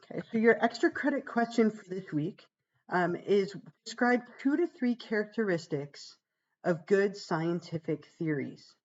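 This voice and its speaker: Hoarse, gravelly-voiced woman teaching. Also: dull audio. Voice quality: gravelly, deeper than usual. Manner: chatty, reassuring, fillers like "um" and "right?". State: hoarse from being sick. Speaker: psychology instructor. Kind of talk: casual livestream course walkthrough.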